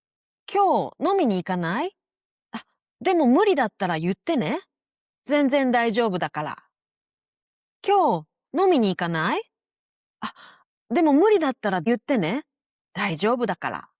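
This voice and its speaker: A woman with a Japanese accent hosts a language lesson in an instructional, scripted style. Her pitch is mid-range and moves in a lively way as she explains. The sound is close and dry, with very little room to it. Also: dry recording. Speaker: language teacher and show host.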